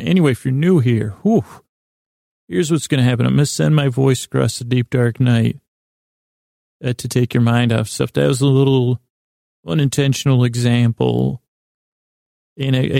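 A man hosting a bedtime podcast in a meandering, halting monologue, often interrupting himself and wandering off track while gently reassuring the listener. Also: mid-range voice, gravelly, no echo, studio quality. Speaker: podcast host.

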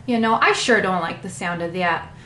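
A woman playing a chatty, folksy artist, rambling with drawn-out exclamations in an interview. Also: fairly low pitch, fairly bright tone, roomy sound, a little hiss or hum, lightly acted. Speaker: interview guest.

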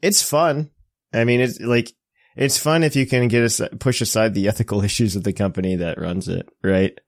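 A man's voice: lowish voice, fairly even intonation, normal speed, no echo, clean studio sound. A man hosting a podcast in a casual, joking, self-deprecating chatty way. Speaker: podcast host. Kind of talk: casual chat.

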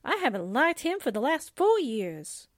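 Woman acting a high, sassy secretary sidekick. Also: very animated, moderate pace, no room sound, clean studio sound. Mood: disdainful. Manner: blunt, slangy. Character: sassy, suspicious, wisecracking female secretary sidekick. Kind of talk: 1940s radio drama acting.

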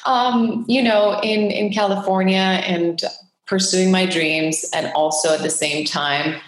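A woman's voice: mid-range voice, steady tone, unhurried. A woman from Switzerland talking casually and reflectively about herself as an interview guest, with hesitant phrasing. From Geneva, Switzerland. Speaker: podcast guest, actress. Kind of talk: casual personal storytelling in an interview. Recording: very echoey room.

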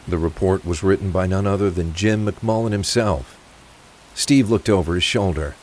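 A man's voice: low-pitched, a little mellow, a little hiss or hum. A man reading scripted narration for a crime thriller audio drama.

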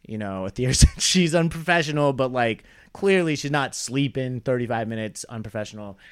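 A man's voice: medium pitch, animated, fairly quick, clear, resonant tone. A young man, a comedian, telling a rambling, wry story in casual podcast chat. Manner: rambling, wry, trails off into quoted asides. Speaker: comedian guest.

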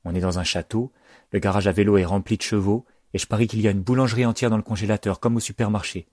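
A man's voice: lowish voice, fairly even intonation, quick pace, a little mellow, no echo, studio quality, close to an everyday voice. A man voicing an earnest, plucky young girl devoted to her mother in an audiobook. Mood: earnest, wistful.

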